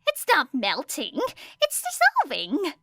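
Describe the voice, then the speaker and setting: A woman voicing a brash, excitable teenage girl inventor in an audiobook story, boastful and eager. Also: high-pitched, slow, no room sound, voice pushed up, heavily acted. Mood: argumentative.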